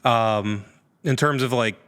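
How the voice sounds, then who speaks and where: A man's voice: medium pitch, takes it slowly, fairly bright tone, clear, resonant tone. A man chatting casually as a podcast guest, answering questions with joking asides.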